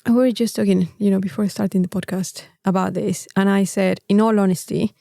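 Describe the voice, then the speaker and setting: A woman's voice: medium pitch, steady tone, a little fast, gravelly, mellow, no room sound, studio quality. A woman with a Mexican accent, a native Spanish speaker, co-hosting a casual podcast in a candid, exasperated, emphatic way. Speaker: podcast co-host.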